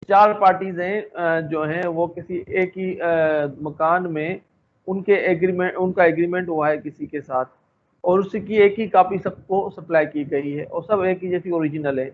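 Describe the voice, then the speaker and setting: A man giving an explanatory law lecture in a halting way, often leaning on filler phrases and switching into English. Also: fairly high pitch. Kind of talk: explanatory lecture mixing Hindi-Urdu and English. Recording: thin phone-like audio with faint noise.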